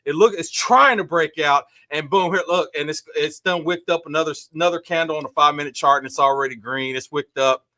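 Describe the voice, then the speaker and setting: A man talking loudly and excitedly in a casual online group chat, rambling and restarting his sentences, with his desk mic a couple of feet from his face. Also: fairly high pitch, brisk, hardly any pauses, no echo. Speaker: crypto trader guest. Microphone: desk mic about two feet from face.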